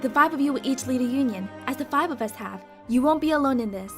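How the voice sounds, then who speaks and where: Female master played by a woman, solemn and instructive, fairly high voice, clear music behind, anime fandub. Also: animated, quick pace. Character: solemn female master entrusting her chosen pupils. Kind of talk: anime game fandub acting.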